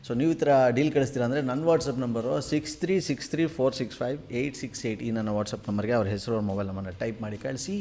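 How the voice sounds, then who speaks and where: A man's voice: medium pitch, very fast, hardly any pauses, darkish tone. A man with a Mysuru Kannada accent, a radio host making prank calls in colloquial, playfully earnest banter over music. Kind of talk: prank phone call banter. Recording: music bed under the voice.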